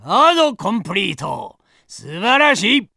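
High, gravelly, pompous villain boss. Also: male voice, very animated, bright, forceful tone, no room sound, clean studio sound, exaggerated voice. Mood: triumphant, pompous. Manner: pompous, drawn-out, commanding. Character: grandiose villain boss praising a recruit to evil. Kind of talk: theatrical villain game announcement.

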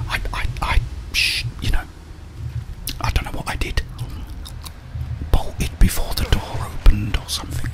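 A middle-aged man whispers ASMR gameplay commentary in a breathy voice, muttering and mildly grumbling to himself. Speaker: gamer, ASMR video host. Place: indoors, small room at home.

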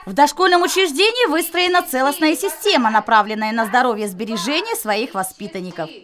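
A woman narrates a news report from a script. Her voice is high and moves up and down in lively intonation as she delivers each line as a reporter. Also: fairly quick.

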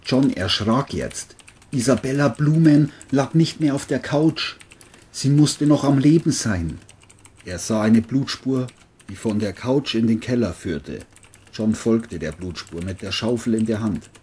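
A man's voice: mid-range voice, lively intonation, unhurried, darkish tone, breathy edge. A man narrating a scripted crime story as an audiobook-style reading.

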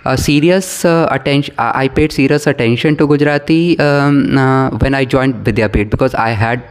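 A man's voice: medium pitch, normal speed, clear, resonant tone. A man in his thirties with an Indian English accent, a Bhojpuri native speaker from Bihar, answering interview questions as an English professor, thoughtful, with many fillers and restarts. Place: small indoor room, seated on a sofa. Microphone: camera across the room.

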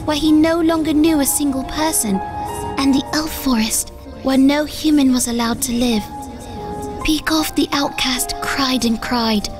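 A woman's voice: high voice, unhurried. A woman reading scripted storybook narration for a comic dub. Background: music.

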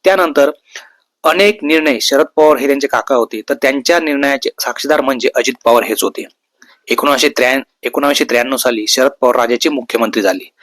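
Man, mid-pitched voice, news commentary, earnest, emphatic, repeated phrases, very dry recording. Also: fairly bright tone, dry recording. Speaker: host of a news video channel. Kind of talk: semi-scripted news commentary, tribute to camera.

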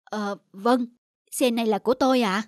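Woman voicing a high, anxious pleading delivery man. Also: steady tone, moderate pace, no room sound, clean studio sound, lightly acted. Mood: apologetic. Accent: northern Vietnamese. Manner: apologetic, stammering, imploring. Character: anxious, pleading middle-aged male pizza delivery driver. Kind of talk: cartoon dubbing. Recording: music under the dialogue.

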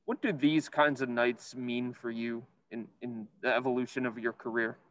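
A man working as a sports reporter, asking a player measured, detailed questions at a press conference. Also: medium pitch, fairly even intonation, a bit bright, poor audio.

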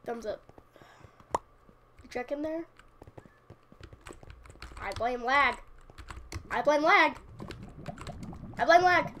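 A woman's voice: sits high, fairly bright tone. A young woman with a sniffly cold, chatting casually and joking at herself while playing a game. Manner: chatty, self-mocking, playful outbursts. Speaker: gaming channel host. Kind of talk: casual gameplay commentary. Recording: audible background noise and keyboard clicks.

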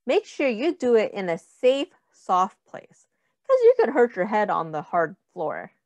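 Middle-aged lady doing storytime for little kids, really swoopy pitch, takes it super slow. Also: huge pitch swings, a little mellow, no room sound. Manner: simple, deliberate, child-directed phrasing. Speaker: early childhood teacher reading aloud. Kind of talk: children's picture book read-aloud with questions to young listeners.

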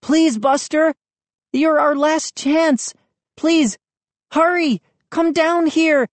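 A woman's voice: fairly high pitch, a little slow, dark tone, no echo, voice pushed up. A woman voicing an earnest, frightened child who pleads urgently in a children's story. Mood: urgent pleading.

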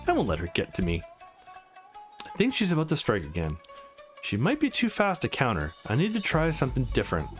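A man's voice: mid-range voice, huge pitch swings, fairly quick, pauses often, faint music behind. A man voicing a terse, brooding young man who trains to fight in a visual novel. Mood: tense focus. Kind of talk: visual novel dialogue and inner monologue read aloud.

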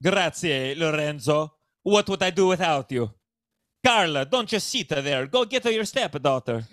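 Casting: male comic as theatrical Italian patriarch, thick hammy accent, excitable and grandiose, close dry sound. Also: on the high side, measured pace, no room sound. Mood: exasperated. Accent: exaggerated Italian. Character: pompous, excitable Italian family patriarch, overblown announcer of grand plans.

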